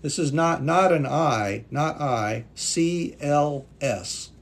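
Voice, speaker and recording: A man's voice: a little high, animated, takes it slowly, a little hiss or hum. A native English-speaking man teaching English on a live stream, patiently reading viewer comments aloud and thanking them. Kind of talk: live-stream language class chat.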